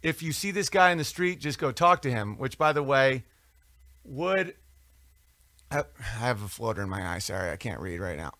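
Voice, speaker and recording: A man's voice: mid-range voice, big pitch swings, moderate pace, pushed and bright, no echo. A man hosting a podcast, talking in a casual, digressive, profane monologue as he reads out and answers comments. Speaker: podcast or livestream host.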